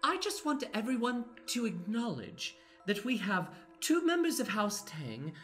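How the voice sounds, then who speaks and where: A woman acts out an indignant young nobleman in a comic improvised radio play. The voice is high and very animated, declaiming with mock-grand scorn, with faint music behind it. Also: a little slow. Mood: rallying, resolute.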